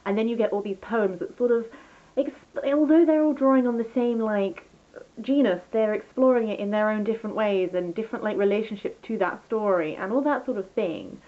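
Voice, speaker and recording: A woman's voice: mid-range voice, fairly quick, phone-quality sound. A woman chatting casually through a book review as a vlogger, rambling and enthusiastic, often interrupting herself.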